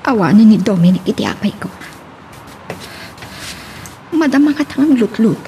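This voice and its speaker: A woman's voice: mid-range voice, expressive, moderate pace, mellow, slight background noise, close to an everyday voice. A woman acting a suspicious, scheming woman in an Ilocano radio drama. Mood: furtive.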